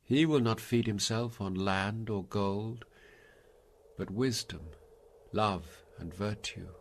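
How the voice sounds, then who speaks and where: A man's voice: lowish voice, slow pace. A man voicing the grave ghost of an ancient Roman poet, guiding with solemn, oratorical delivery in a story reading. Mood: prophetic. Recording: noisy, hissy background.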